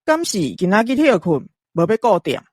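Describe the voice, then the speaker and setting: A woman's voice: mid-range voice, sing-song, normal speed, slightly rough, no echo, clean studio sound. A woman with a Taiwanese accent playing a curious young traveller, a man, in an audio drama. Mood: puzzled.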